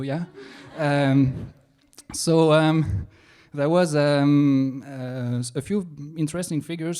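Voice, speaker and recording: A man's voice: medium pitch, a little mellow. Man, French accent, young adult, stand-up comedy set, self-mocking, hesitant, playful asides. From France. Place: comedy venue stage.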